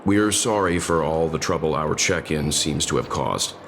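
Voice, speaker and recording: Game role: composed, courteous man, rumbling bass, clear background ambience. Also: normal speed, a little hiss or hum. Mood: apologetic, calm. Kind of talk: scripted video game dialogue.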